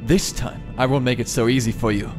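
A man's voice: medium pitch, fairly even intonation, a little fast, over music, close to an everyday voice. A man playing a confident, taunting starship commander in a science fiction audio drama. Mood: taunting, menacing. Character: menacing, confident male starship commander awaiting his enemy.

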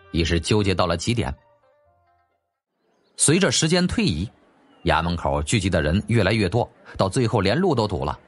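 Husky, animated male audiobook narrator. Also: on the low side. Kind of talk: dramatic scripted storytelling.

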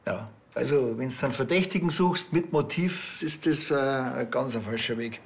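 An actor playing a dry, sardonic rural policeman with a Bavarian accent, speaking in a terse deadpan. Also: mid-range voice, normal speed, a little hiss or hum, natural voice.

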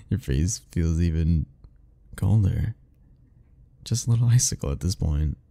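A man's voice: low voice, lively intonation, takes it slowly, creaky, dark tone. A young man doing an ASMR roleplay as a teasing, easygoing roommate talking to the listener, laughing often.

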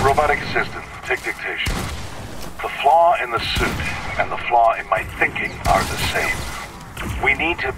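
Low-voiced, brooding stubborn scientist. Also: male voice, distant mic, noisy background. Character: brooding, stubborn male scientist recording audio logs. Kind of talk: dramatic video game audio log.

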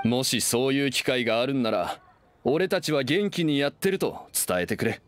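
A man's voice: mid-range voice, animated, normal speed, a little hiss or hum, natural voice. A man voicing an earnest, plainspoken loyal friend in an anime game. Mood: heartfelt. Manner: earnest, plain masculine wording. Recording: faint music behind.

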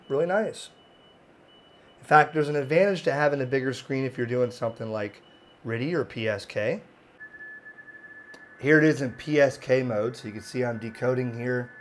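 A man with a slightly hoarse voice giving a plain-spoken, informal product demonstration as a video host, recorded indoors on a handheld camera. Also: medium pitch, a little hiss or hum. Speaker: amateur radio video host. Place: indoor home radio room. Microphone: handheld camera mic.

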